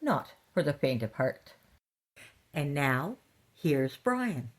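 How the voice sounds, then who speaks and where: A woman's voice: low-pitched, big pitch swings, slow pace. A woman hosting a horror fiction podcast, reading a scripted introduction in a theatrical, playfully sinister manner. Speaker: podcast host.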